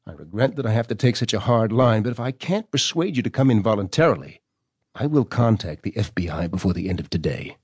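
An actor playing a stern, formal government doctor reading out his correspondence in a measured, condescending way for an audio drama. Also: fairly low pitch, lively intonation, darkish tone, husky. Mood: stern, reluctant.

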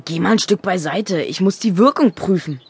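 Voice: medium pitch, moderate pace, a little mellow, breathy edge, no room sound. A performer playing a cheeky, crafty young boy with a nonchalant, sly manner in a German children's audio drama. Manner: nonchalant, sly, feigning innocence.

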